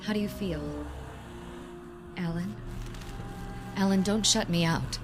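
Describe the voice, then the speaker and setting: An actress playing a worried, loyal young fiancée in a radio drama, earnest and concerned. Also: female voice, medium pitch, fairly even intonation, slow, natural voice. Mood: soothing, reasoning. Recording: music behind the voice.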